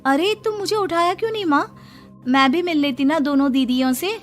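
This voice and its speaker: A woman's voice: sits high, a little fast, soft background music, pitched above the performer's own voice, close to an everyday voice. A woman voicing a dutiful, polite young daughter-in-law in a family story, earnest and respectful. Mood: surprised, friendly.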